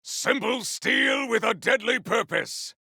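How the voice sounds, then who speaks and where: Zealous video game champion: high-pitched for a man, raspy, bombastic and theatrical, swooping boldly through boastful combat barks. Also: lively intonation, slow, pushed and bright, no echo, shouting, studio quality, exaggerated voice. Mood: defiant, boastful. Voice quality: gravelly, raspy. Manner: bombastic, archaic, theatrical declamation. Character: zealous, boastful male warrior champion, grandiose and battle-hungry.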